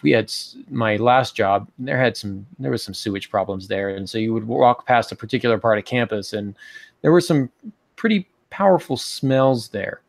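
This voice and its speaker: A man in his forties with an American accent talks through writing advice on a podcast. His medium-pitched voice has plenty of gravelly fry. He speaks informally and a little hesitantly, in a dry, close home-office sound. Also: moderate pace, dry recording. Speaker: podcast co-host teaching fiction writing. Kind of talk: informal instructional podcast talk. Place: home office, on a video call.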